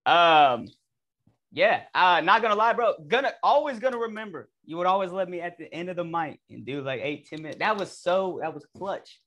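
A man's voice: high voice, animated, normal speed, sharp tone. A young man hosting a casual interview podcast from his room, upbeat and friendly with hesitant fillers. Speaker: podcast host.

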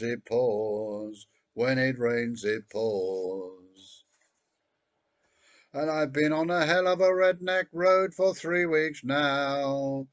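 A man's voice: fairly high pitch, dry recording. An older man singing a country song at an online open mic, from a home room on a video call. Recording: dull lo-fi video call audio.

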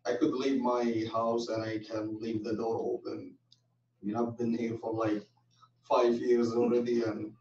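A young adult man from Saudi Arabia, a student, answering a panel question haltingly with restarts. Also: mid-range voice, a little mellow, recorded from a distance. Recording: muffled, roomy, from off the mic.

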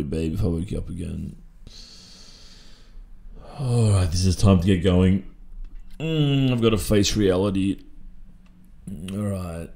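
A man's voice: sits low, a little mellow, full character voice. A young man with an Australian accent playing a stressed, sleepy, sweet-natured student boyfriend in an audio roleplay, muttering drowsily to himself. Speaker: roleplay voice performer.

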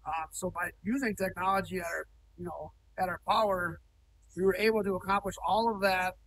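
A man's voice: high voice, steady tone, a little fast, a bit bright, distant mic, slight background noise, low-quality recording. A man in his thirties, a cattle farmer talking plainly in an on-site interview in a large barn, with frequent ums and restarts. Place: indoor cattle barn, large, open-sided.

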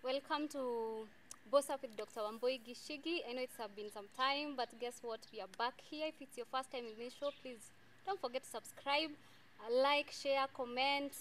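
A woman's voice: high voice, a bit bright, a little hiss or hum. Upbeat Kenyan woman hosting a show. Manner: upbeat, encouraging, quick affirmations, mixes English and Swahili. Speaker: talk show host. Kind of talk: hosted interview. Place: outdoor garden by a hedge. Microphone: lapel mic.

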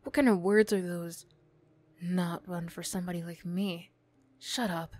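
Female actor as flustered ex-bully girl, young adult. ASMR roleplay, slow delivery, bashful stammer, defensive snap. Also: lowish voice, steady tone, a little mellow.

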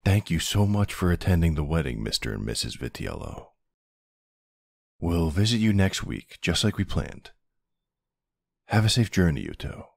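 Man playing a very deep, gravelly mafia husband. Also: big pitch swings, normal speed, long pauses, no room sound, exaggerated voice. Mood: gentle, polite. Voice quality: low, gravelly, husky. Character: mafia boss husband, tender but commanding, newly married. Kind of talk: ASMR boyfriend roleplay.

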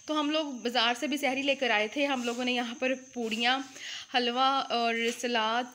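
Woman, young adult, from Pakistan, vlog host, high voice, chatty, talks to viewers. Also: fairly bright tone, slight background noise. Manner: chatty, talks straight to viewers, many fillers. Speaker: channel host. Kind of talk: casual vlog chat.

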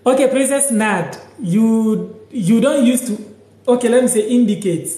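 A young man from Ghana teaching a live language lesson on his phone, slowly repeating words and sentences and reading out viewer comments. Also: extremely high pitch, warm tone, reverberant, low-quality recording. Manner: slow, repeats each word and sentence several times. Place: small room indoors, sitting on a sofa.

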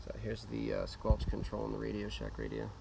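A man's voice: fairly low pitch, sing-song, fairly quick, gravelly, recorded from a distance. A man giving a casual, hesitant, matter-of-fact product demonstration outdoors, as a gear reviewer. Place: outdoors at a patio table. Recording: outdoor, off-mic, loud radio static and noise. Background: CB radio chatter, static, clicks.

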